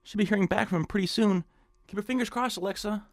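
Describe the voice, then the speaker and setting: An actor playing a nervous, hapless hypochondriac in an audio drama, fretful and stammering. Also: male voice, high voice, quick pace, no room sound, studio quality. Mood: eager, excited. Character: nervous, whiny nebbish hypochondriac man, eager and hapless. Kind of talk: audio drama acting in old-time radio suspense style.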